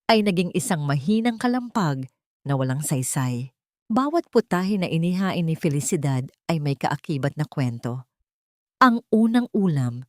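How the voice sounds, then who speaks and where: A woman narrates a dramatic audiobook in a lowish voice. Her intonation swings expressively while her delivery stays measured and solemn. The recording is dry and close, with no room sound. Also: darkish tone, no room sound. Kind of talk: scripted dramatic story narration.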